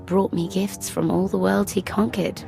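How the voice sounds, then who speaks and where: A woman's voice: mid-range voice, fairly even intonation, moderate pace, vocal fry. A woman playing a sly, cool young princess and younger sister in a video game. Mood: cool, resolute. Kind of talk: dramatic video game acting. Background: loud game music.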